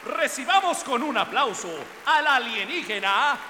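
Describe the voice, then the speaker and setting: High, bombastic palace announcer. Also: male voice, lively intonation, sharp tone, slight background noise, exaggerated voice. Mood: grandiose hype. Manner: drawn-out, grandiose delivery. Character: bombastic alien palace broadcast announcer, fawning showman. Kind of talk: radio drama live-announcer hype. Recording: music and crowd behind the voice.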